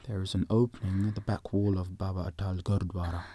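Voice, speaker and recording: An actor playing a calm, protective Sikh man in an audio drama, speaking earnestly and giving instructions. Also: low-pitched, brisk, slightly rough. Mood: urgent, instructing.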